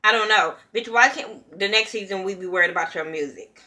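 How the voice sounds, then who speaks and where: A woman's voice: mid-range voice, brisk, sharp tone. A young woman from California ranting bluntly and profanely while reviewing a reality show in a casual vlog. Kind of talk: casual opinion commentary.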